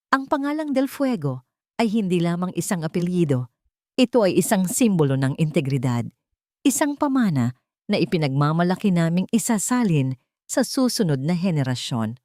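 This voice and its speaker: A woman's voice: lowish voice, darkish tone, no echo, studio quality. A woman voicing a proud, calculating old matriarch in an audio story, giving a stately, grand speech.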